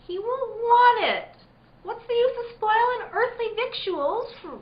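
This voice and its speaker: A woman's voice: very high voice, expressive, measured pace. An actress playing a wild-minded, childlike young woman haunted by ghosts, speaking rustic dialect in an eerie, repetitive chant in a radio play. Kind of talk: theatrical radio-play acting. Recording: dull, echoey lo-fi stage recording.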